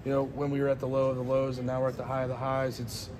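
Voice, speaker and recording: A young college football player answering reporters after a game in a crowded weight room, earnest and grateful, with many fillers. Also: male voice, medium pitch, monotone, fast, background noise. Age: young adult. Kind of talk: postgame media scrum. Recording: crowd chatter behind.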